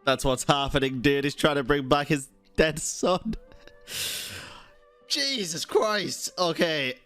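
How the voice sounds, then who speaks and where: A man reacting casually as he streams a game, slangy, expressive and quick to swear. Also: fairly high pitch. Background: faint game music.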